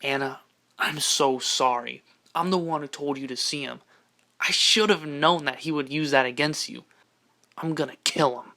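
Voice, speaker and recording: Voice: medium pitch, normal speed, sharp tone. An amateur actor playing a loyal, protective young man in an audio drama, earnest and intense. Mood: concerned, gentle. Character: loyal, protective, angry young male best friend.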